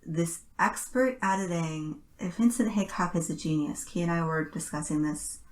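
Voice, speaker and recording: Lower-pitched woman co-hosting a podcast. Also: normal speed, a little mellow. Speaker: podcast host. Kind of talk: casual analytical podcast chat.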